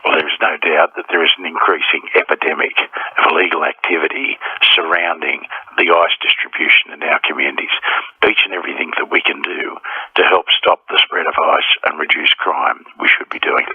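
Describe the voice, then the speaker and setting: A man gives a political statement in an extremely low, husky voice. It comes through a dry, phone-like line. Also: steady tone, brisk, no room sound. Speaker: member of parliament. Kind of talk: media statement.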